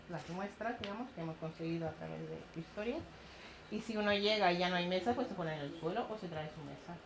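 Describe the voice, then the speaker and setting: A middle-aged Chilean woman with a somewhat low voice recounts her neighborhood projects, laughing easily and acting out what others said. The room is echoey, with a faint hum of background noise behind her. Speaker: community project organizer. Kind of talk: public talk with audience questions.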